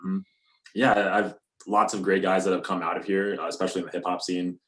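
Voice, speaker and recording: A young man from North Alabama with a deep, bassy voice, chatting casually as a musician guest with plenty of "you know" and "um". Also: a little fast. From North Alabama, USA. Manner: relaxed, many fillers like "you know" and "um". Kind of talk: casual interview talk.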